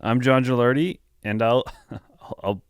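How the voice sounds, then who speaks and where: A man's voice: mid-range voice, slow pace, smooth, no room sound, clean studio sound. A man co-hosting a podcast in loose, casual conversation. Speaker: podcast host. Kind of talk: casual chat.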